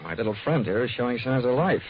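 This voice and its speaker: Male actor as hard-boiled, wisecracking American investigator. Wry and punchy, animated intonation, old radio show with muffled fidelity. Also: mid-range voice, quick pace, crowd noise, lightly acted. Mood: breezy, confident. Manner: wry, flippant, punchy delivery. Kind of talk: old-time radio detective drama acting. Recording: dull vintage radio recording.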